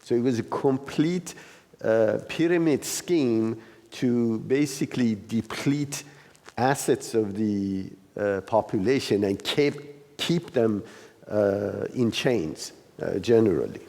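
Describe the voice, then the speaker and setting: A man with a lowish, soft-edged voice explains a reading to a class. He speaks slowly and loosely, often searching for the next word. The sound carries the reverb of a hall. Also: lively intonation, vocal fry. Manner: hesitant, loosely phrased, searching for words. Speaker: class facilitator.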